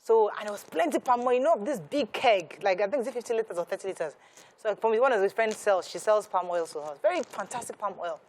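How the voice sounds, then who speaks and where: A woman with a Nigerian accent hosting a talk show in animated panel chat. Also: mid-range voice, fast talker, slight background noise.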